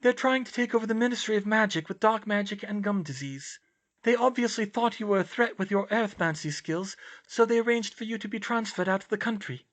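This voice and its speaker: A serene, eccentric storybook girl in her teens, put on by a man. The pitch floats very high, and the delivery is sweet and earnestly serious. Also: fairly quick, a bit bright. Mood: serenely serious. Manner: serene, very serious about odd claims.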